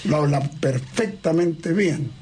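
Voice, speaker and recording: A man's voice: fairly high pitch, expressive, slow, darkish tone, slight background noise. A man hosting a radio talk show, chatty and joking with his guest. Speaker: radio host.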